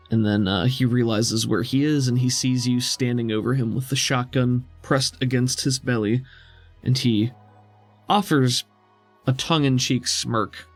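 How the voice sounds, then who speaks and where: Male game master, mid-pitched, conversational, descriptive tabletop narration, faint music behind.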